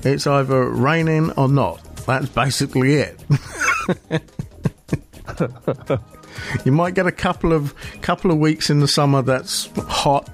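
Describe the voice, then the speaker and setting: A man's voice: mid-range voice, measured pace, pauses often. Brief: British male podcast co-host, easygoing chat, laughs a lot, faint music behind. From United Kingdom. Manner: chatty, laughs often, many restarts. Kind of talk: casual chat.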